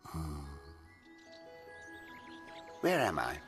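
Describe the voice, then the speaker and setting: An eccentric English amateur astronomer in a radio drama. His delivery is well-spoken and wry, with very animated pitch and old-fashioned phrasing. Music runs softly underneath. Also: drawn-out words, heavily acted. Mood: slow, dreamy. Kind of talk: radio drama narration and dialogue.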